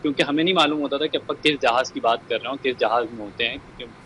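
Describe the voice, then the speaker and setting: A man giving a measured account and appeal as an interviewed relative, dropping in English words. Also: mid-range voice, sharp tone, low-quality recording. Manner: code-switches into English words. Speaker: bereaved relative being interviewed. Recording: faint background noise.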